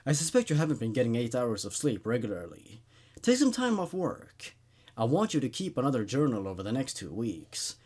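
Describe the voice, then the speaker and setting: A man plays a probing psychiatrist in a horror storybook. He is calm and clinical, with a husky edge to the voice. His pitch moves widely as he muses with secret obsession. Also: brisk. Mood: calm, advising.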